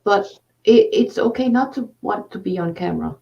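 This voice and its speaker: Danish-accented woman host, slow and halting, gravelly tone, distant mic with dull lo-fi sound. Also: medium pitch. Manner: halting, many fillers. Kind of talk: casual conversation.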